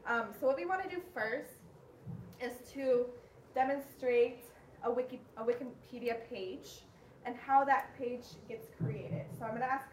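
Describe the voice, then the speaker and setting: A woman's voice: fairly high pitch, measured pace. A woman giving an informal workshop presentation to an audience in a large room. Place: large conference room, distant camera without microphone. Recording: echoey, distant, noisy room.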